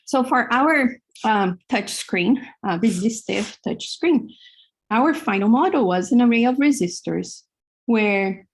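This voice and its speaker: A middle-aged woman with a Hebrew accent giving a university lecture in a large hall, halting with many fillers. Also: medium pitch, a little mellow. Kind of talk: classroom lecture.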